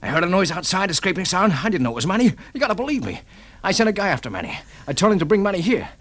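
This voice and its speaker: A trigger-happy fugitive in a vintage radio crime play. His fairly high voice races along, swinging widely with urgent suspicion. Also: sing-song, racing, a bit bright, heavily acted. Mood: panicky, defensive. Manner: urgent, defensive. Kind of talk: old-time radio crime acting.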